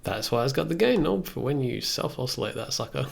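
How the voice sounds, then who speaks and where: A man's voice: mid-range voice, lively intonation, a little fast, gravelly. A man in his thirties thinking aloud in a casual livestream, musing and questioning himself as he works. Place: indoors at a computer desk. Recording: loud noise and clicks behind voice.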